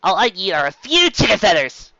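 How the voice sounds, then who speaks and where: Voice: mid-range voice, sing-song, fairly quick, sharp tone, no echo, muffled recording, pitched above the performer's own voice. A reader voicing a flustered, boastful female unicorn pony in a storybook reading, stammering and blurting urgently. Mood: frantic, hurried.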